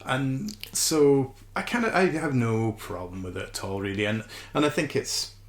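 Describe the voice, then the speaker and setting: A man's voice: medium pitch, expressive, normal speed. A man co-hosting a podcast in casual, reflective discussion, thoughtful and wordy, often qualifying what he says. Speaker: podcast co-host. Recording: roomy, echoing indoor sound.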